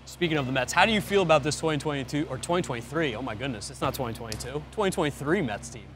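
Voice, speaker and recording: A man's voice: mid-range voice, animated, very fast, a bit bright, soft background music. A man hosting a casual sports podcast in an upbeat, informal way. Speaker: podcast host.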